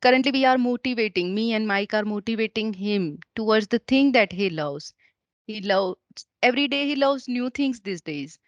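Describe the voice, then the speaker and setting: A higher-pitched Indian woman in her thirties speaks English with a Gujarati accent, Gujarati being her first language. She answers earnestly and carefully, sometimes restarting a word. The sound is dry and close, with little room to it. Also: expressive, normal speed, dry recording, lo-fi sound. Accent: Gujarati-influenced Indian English. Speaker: executive, interview guest. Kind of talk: interview answers.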